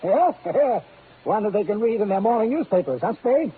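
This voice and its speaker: A man's voice: high voice, expressive, moderate pace, husky, heavily acted. An actor playing a self-important crusading newspaper man in a 1950s radio drama, pompous and oratorical. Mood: gloating. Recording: tinny, narrow old radio recording, faint noise.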